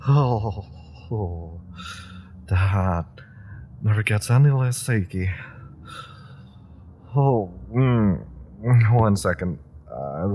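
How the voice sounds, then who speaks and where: A man's voice: lowish voice, slight background noise. A man playing an eager, giggly superpowered rookie. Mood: woozy. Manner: rambling, giggly, stumbling over words. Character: eager young male superpowered rookie, fanboyish. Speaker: voice actor. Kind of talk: audio roleplay drama.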